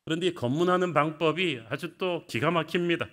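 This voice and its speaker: A man's voice: on the high side, brisk, fairly bright tone. Man preaching a vivid story sermon. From Gyeongsang, South Korea. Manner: storytelling, vivid retelling of scripture. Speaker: pastor.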